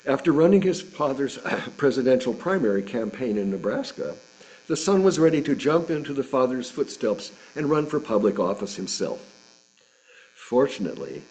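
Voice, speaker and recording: Male sermon reader, mature, lowish pitch, very animated melody, deliberate scripted delivery with weighted pauses. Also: rough recording. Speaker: reader of a sermon at a church service. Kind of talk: sermon read aloud from a script.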